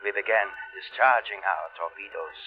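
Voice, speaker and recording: An actor playing an anxious, dutiful submarine lieutenant in 1940s radio style, earnest and rattled. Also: male voice, lowish voice, moderate pace, lightly acted. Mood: grave narration. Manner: stammering, deferential, earnest. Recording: old dull radio recording with music.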